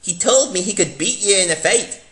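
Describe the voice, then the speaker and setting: A man's voice: sits high, lively intonation, moderate pace, fairly bright tone, pitched above the performer's own voice. A man voicing a brash, combative young Scottish woman in a dramatic reading, blunt and exclaiming. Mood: matter-of-fact. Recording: echoey room.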